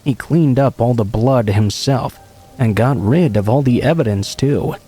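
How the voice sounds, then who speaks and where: A man calmly narrating true horror stories in an even, scripted read. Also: mid-range voice, a little slow, breathy edge.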